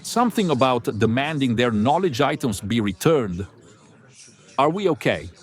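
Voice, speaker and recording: Man playing lively, deferential young-brother officer. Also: mid-range voice, normal speed, breathy edge. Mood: earnest, uneasy. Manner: earnest, obedient. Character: deferential, modest male religious brother and junior officer.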